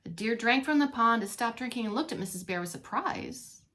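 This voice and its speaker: A woman reading a storybook aloud to young children as a teacher, expressively, pausing to comment and ask questions. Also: on the high side, lively intonation, a bit bright. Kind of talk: animated read-along storytelling for young children.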